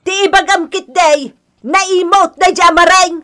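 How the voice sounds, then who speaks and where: A woman's voice: very high-pitched, steady tone, unhurried, bright, forceful tone, exaggerated voice. A Filipino woman with an Ilocano accent acting a quarrelsome older mother-in-law in a radio drama, theatrical and complaining. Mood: indignant scolding. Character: scolding, wheedling older mother-in-law, quick to take offence.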